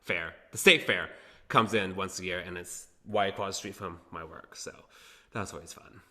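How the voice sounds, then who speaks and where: A young man speaking as a podcast guest from an apartment clubhouse. He talks hesitantly, with many "um" pauses. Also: low voice, crowd noise. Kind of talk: casual conversational interview. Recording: echoey room with long reverb.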